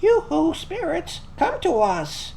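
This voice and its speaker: Man putting on a shrill falsetto old lady fortune teller. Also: wildly swooping pitch, gravelly, darkish tone, a little hiss or hum, full character voice. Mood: cooing, beckoning. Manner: cooing, theatrical, drawn-out. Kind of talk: storybook reading for children.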